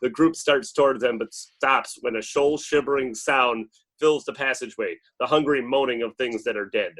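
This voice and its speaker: A man's voice: medium pitch, normal speed, a bit bright. A man reading action directions as narrator at an informal script table read.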